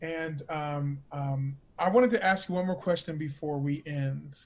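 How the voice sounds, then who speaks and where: Higher-voiced, effusive male interviewer. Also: a little slow, a little mellow. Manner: effusive, encouraging. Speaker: interview host. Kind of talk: casual interview chat.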